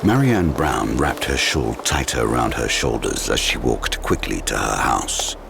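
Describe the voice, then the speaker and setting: A man narrating a thriller audio drama in a measured, ominous, suspenseful style. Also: extremely low pitch, lively intonation, gravelly, very noisy, heavily acted. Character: ominous male third-person thriller narrator. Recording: loud dramatic music behind the voice.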